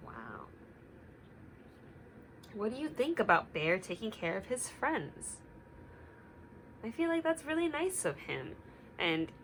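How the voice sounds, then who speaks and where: Expressive, bright-voiced woman reading to children. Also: mid-range voice, a little hiss or hum, poor audio. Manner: expressive, simple explanations for young children. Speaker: teacher reading aloud. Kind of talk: children's read-aloud with questions to listeners.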